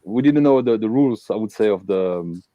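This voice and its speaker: A man's voice: on the low side, expressive, brisk, no room sound, muffled recording. A man from Belgium hosting a casual live interview, talking with many fillers and restarts. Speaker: host.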